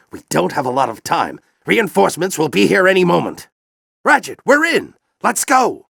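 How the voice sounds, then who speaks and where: Gruff old alien general, intense. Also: male voice, high voice, fairly bright tone, no room sound, clean studio sound, full character voice. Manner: commanding, intense, theatrical. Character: grizzled Lombax general, anguished, fanatical old warrior alien. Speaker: military commander and mentor. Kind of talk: video game voice acting, combat barks and dramatic speeches.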